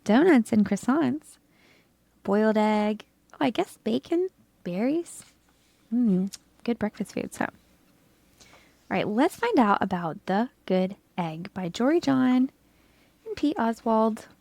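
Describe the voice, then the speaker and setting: A woman's voice: fairly high pitch, very animated, slow pace, vocal fry, pauses often, darkish tone, dry recording. A woman hosting a children's read-aloud, chatting and asking questions of young listeners about the storybook.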